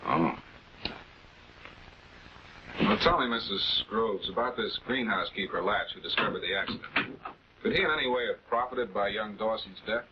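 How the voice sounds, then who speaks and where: A man's voice: on the low side, close to an everyday voice. A man playing a composed, affable public prosecutor in a late-1940s television crime drama, speaking in a polite, conversational way. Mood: politely probing. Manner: conversational, polite, measured questioning. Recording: dull, noisy 1940s film soundtrack.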